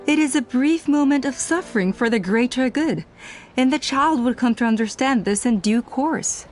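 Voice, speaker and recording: An actress playing a proud, self-righteous clan elder woman in a video game, speaking in measured, formal, persuasive speeches. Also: high voice, expressive. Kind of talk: scripted video game voice acting. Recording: music under the voice.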